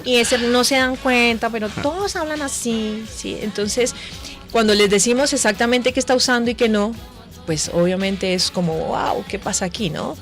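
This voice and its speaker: A woman's voice: on the high side, moderate pace, faint music behind. A woman, a voice coach explaining conversationally as a radio guest, often checking with tag questions.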